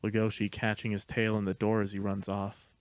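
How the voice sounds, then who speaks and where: A man's voice: fairly low pitch, monotone, brisk, dry recording. A man narrating a screenplay, reading the stage directions in a matter-of-fact way. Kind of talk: scripted narration.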